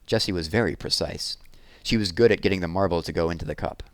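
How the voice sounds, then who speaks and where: A man reading a children's chapter book aloud as narrator, in an expressive storytelling style. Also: low-pitched, fast talker, no echo.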